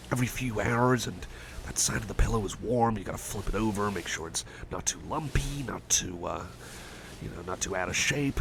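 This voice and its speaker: A man playing a shy, caring, anxious friend in an intimate ASMR roleplay, speaking softly and hesitantly with stammers and gentle, murmured rambling. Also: on the low side, vocal fry, background noise.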